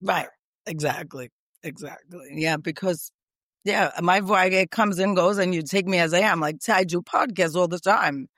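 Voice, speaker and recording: A middle-aged woman with a raspy, strained voice, talking eagerly and emphatically as a guest on a podcast interview. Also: lowish voice, normal speed, a bit bright, no room sound, clean studio sound. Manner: talkative, emphatic and repetitive. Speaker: guest, speech pathologist.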